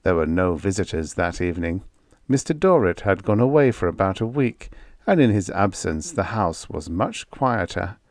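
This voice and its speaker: A man reading a vintage science fiction story aloud as an audiobook narrator, in a measured storytelling delivery. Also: deep voice, darkish tone, no room sound, studio quality.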